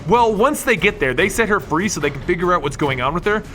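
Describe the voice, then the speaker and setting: Man, high voice, bright and forceful, emphatic scripted storyteller, speaks straight to listeners. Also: lively intonation, fast, faint music behind. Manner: emphatic, addresses listeners directly. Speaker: comic book story narrator. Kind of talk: lively scripted storytelling narration.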